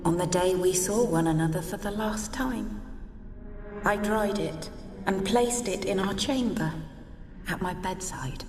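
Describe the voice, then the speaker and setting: A woman playing the wistful, fragile ghost of a forsaken wife in a video game, speaking in a hushed, sorrowful way. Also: mid-range voice, measured pace, music in the background, lightly acted. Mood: wistful, mournful. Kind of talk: dramatic video game dialogue. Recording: reverberant, distant hall effect.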